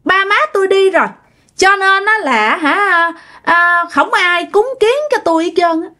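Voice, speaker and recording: A woman voicing a hungry, mischievous young female ghost who talks in a high, giggly, taunting way. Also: a little slow, bright, forceful tone, full character voice.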